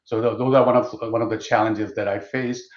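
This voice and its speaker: A man's voice: on the low side, fairly even intonation, fairly quick. A man in his fifties from Pakistan, a guest speaking on a video call, hesitant with many fillers. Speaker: guest, IT executive. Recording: dull roomy video call audio.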